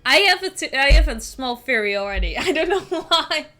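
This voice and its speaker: A woman's voice: high voice, big pitch swings, moderate pace, bright, forceful tone. A woman whose first language is Dutch, hosting a podcast and reading a horror story aloud, then chatting and giggling about it. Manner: giggly and chatty in conversation, with frequent laughs. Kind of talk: reading a creepy story aloud, with casual chat between passages.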